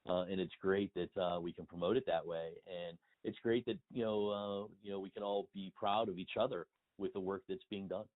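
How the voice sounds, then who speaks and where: A man's voice: sits low, fairly even intonation, brisk, phone-quality sound. A middle-aged man speaking as a guest in a conversational phone interview, wordy and emphatic. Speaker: interview guest, industry consultant and blogger. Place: remote phone call.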